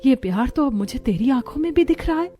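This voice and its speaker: A woman's voice: sits high, animated, fast talker, warm tone, faint music behind. A woman voicing a concerned, coaxing village mother in a cartoon story.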